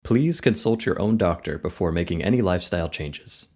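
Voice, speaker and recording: Deep voiced guy doing the show intro, slow and careful. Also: expressive, over music. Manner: slow and deliberate. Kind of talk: scripted announcement.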